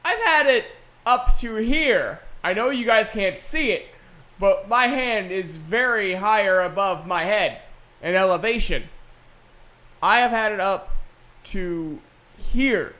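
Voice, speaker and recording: Slow-talking man rambling on a podcast. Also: high voice, animated, pushed and bright. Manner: rambling, halting. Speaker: podcast host and gaming video creator. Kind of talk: casual unscripted solo podcast chat.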